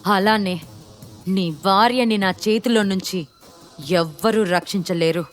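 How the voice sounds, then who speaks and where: An arrogant story villain, acted out by a woman narrator, seething with entitlement and revenge. He taunts and threatens in a raised, forceful voice. Music plays behind him. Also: fairly high pitch, expressive, normal speed, voice pushed up, natural voice. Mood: taunting rage. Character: arrogant, vengeful rich villain man, enraged and threatening.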